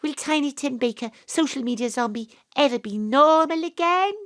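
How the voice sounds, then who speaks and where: High, animated female investigator. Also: normal speed. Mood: excited. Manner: exclamatory, questioning. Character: exasperated, inquisitive young female investigator. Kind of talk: audio drama acting.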